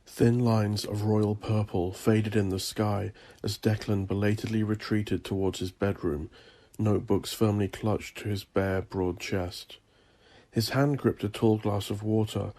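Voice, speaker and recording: A man reading dark fantasy horror as a scripted story, with a measured, deliberate delivery. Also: lowish voice, flat delivery, vocal fry. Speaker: audiobook narrator.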